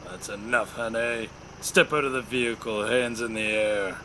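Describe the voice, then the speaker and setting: A man's voice: on the low side, fairly even intonation, moderate pace, lightly acted. A man playing a stern, menacing plain-clothes lawman in an audio drama, curt and commanding. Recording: noisy home-device recording.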